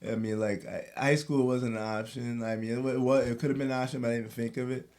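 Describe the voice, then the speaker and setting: A man with a voice of ordinary middle pitch tells a story on a casual podcast. He is animated and slangy, and he laughs as he talks. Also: quick pace. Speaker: podcast co-host.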